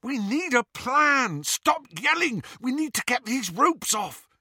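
A man's voice: very high voice, sing-song, slow pace, bright, forceful tone, breathy edge, dry recording, studio quality, voice pushed up, full character voice. A man voicing an urgent, level-headed teenage boy, insistent and practical, in an audiobook story.

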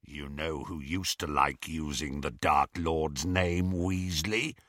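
A savage werewolf thug from a storybook. The voice is a low, rasping growl that drags slowly over words, gloating and menacing. It sounds close and dry. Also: male voice, a bit bright, dry recording, exaggerated voice. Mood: sinister relish. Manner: slow, relishing, menacing.

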